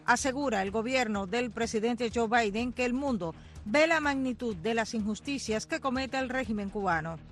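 A news anchor with a mid-pitched voice reads the headlines in a formal, even tone. Music plays faintly underneath. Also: moderate pace. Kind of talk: radio newscast.

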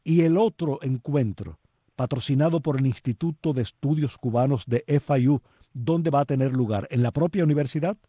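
Dark, husky-voiced male radio host. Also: medium pitch, normal speed, no echo, clean studio sound. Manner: friendly, chatty interviewer. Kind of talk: radio interview.

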